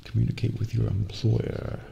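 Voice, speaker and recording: Casting: male actor as cold, polite hitman for ASMR role play, heavy vocal fry, dark hushed delivery. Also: fairly low pitch, completely flat delivery, lo-fi sound. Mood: smug, cold. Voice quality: breathy, gravelly, near-whisper. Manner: deliberate, polite, menacing. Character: cold, calculating male assassin agent gloating over his victim. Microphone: close binaural microphone. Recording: close binaural mic, faint noise.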